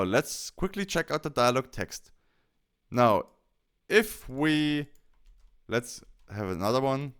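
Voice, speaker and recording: Male tutorial presenter, casual and instructional, smooth clear tone with animated inflection. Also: mid-range voice. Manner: explains step by step. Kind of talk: casual software tutorial narration.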